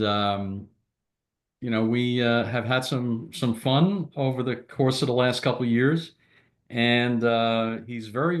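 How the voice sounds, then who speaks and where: A man, middle-aged or older, introducing himself on a group video call in a halting, casual way. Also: on the low side. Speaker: volunteer mentor, part-time college professor.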